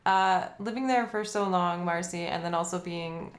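A woman's voice: on the low side, normal speed. A woman hosting a podcast, curious and asking follow-up questions. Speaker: podcast host. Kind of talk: casual interview chat. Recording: roomy echo.

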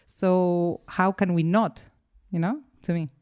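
A woman's voice: on the low side, fairly even intonation, slow pace, a little mellow, clear, resonant tone. A woman who is a podcast guest, speaking earnestly and often restarting, with the phrase "to me" coming back again and again. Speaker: interview guest. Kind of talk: earnest conversational interview.

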